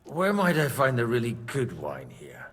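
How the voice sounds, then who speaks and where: Cynical middle-aged medieval charmer in a game, dry and sardonic, pitch moves around a lot. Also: male voice, wildly swooping pitch, normal speed, a bit bright, long reverb tail. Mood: courteous, flirtatious. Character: cynical medieval man, wry, flirtatious, fond of drink. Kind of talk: game cutscene dialogue.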